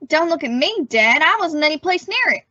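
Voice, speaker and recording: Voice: sits high, big pitch swings, a little fast, pushed and bright, exaggerated voice. A woman playing a cheeky, literal-minded teenage son in an old-time radio family comedy. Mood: defensive. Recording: dull, very noisy recording.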